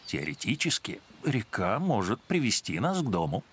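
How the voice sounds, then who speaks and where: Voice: medium pitch, big pitch swings, normal speed, slightly rough. An actor voicing a brainy, curious, wry companion in a children's cartoon, with a bookish, musing manner. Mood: thoughtful. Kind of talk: animated children's cartoon acting. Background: music.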